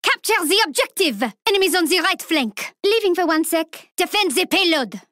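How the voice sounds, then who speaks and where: A woman's voice: very high voice, expressive, moderate pace, bright, forceful tone, dry recording, studio quality, exaggerated voice. A woman voicing a cocky young female street thief in a video game, with a playful, taunting manner in short lines. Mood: urgent, alert. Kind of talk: video game character voicelines, short barks.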